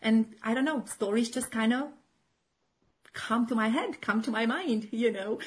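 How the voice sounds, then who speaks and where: A woman's voice: a little high, unhurried. Chatty woman. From Romania. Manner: chatty, many fillers and restarts, laughs often. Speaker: children's author and teacher. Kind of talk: casual interview chat.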